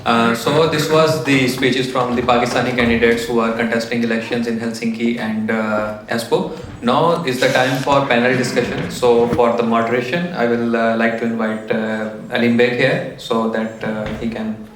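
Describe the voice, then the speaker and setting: A man's voice: mid-range voice, level tone, normal speed, few pauses. A man hosting a community event and introducing panel guests, hesitant and full of fillers. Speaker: event host. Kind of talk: announcing speakers at an event. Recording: echoey hall with audience noise.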